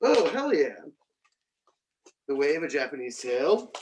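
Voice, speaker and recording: A man's voice: a little high, big pitch swings, unhurried, darkish tone. A man chatting casually as a guest, reading out finds and commenting on them. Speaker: guest helping open film reels. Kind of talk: casual chat. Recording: distant mic with faint noise, clicks.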